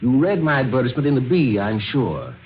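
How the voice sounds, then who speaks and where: An actor playing a brisk, courtly, old-fashioned businessman who is formal and insistent, in an old-time radio drama. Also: medium pitch, very animated, close to an everyday voice. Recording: dull, noisy vintage radio recording.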